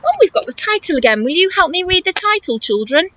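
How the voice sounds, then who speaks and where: High, animated woman teacher at storytime. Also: fast, highs cut off. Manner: encouraging, expressive, exaggerated intonation for small children. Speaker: teacher reading a story to young children. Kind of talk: children's storytime read-aloud with questions and explanations.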